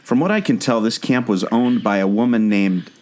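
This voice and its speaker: A man's voice: mid-range voice, moderate pace, a little mellow, slight background noise, close to an everyday voice. A man playing an earnest, obsessive amateur sleuth and former jungle skipper in a scripted audio drama. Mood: explaining. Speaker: podcast co-host.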